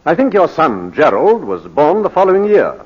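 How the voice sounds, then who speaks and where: An actor playing a formal, courteous British barrister examining his client, with measured oratory in 1940s radio drama style. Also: a little high, huge pitch swings, breathy edge, full character voice. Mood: measured, probing. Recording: muffled vintage 1940s radio broadcast.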